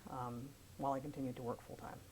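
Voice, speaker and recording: A woman in her fifties from Pennsylvania speaks with a strikingly low voice, recounting her past in a measured, careful way. A faint hiss sits under the recording. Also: level tone, a little mellow, poor audio. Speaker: interviewee, attorney. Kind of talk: oral history interview.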